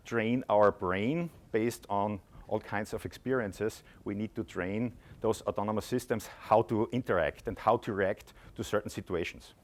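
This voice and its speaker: Man, Austrian accent, lowish voice, conference presentation, earnest explaining, ums and uhs. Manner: earnest, explanatory, frequent filler sounds. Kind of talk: conference talk. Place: conference hall.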